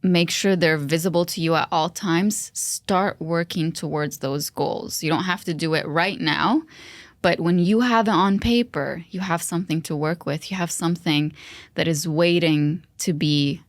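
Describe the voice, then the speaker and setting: A woman whose first language is Arabic talks through a personal story on a podcast. Her voice sits low, and her manner is reflective and earnest. The sound is dry and close to the mic. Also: moderate pace, dry recording. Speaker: podcast co-host. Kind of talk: casual podcast conversation.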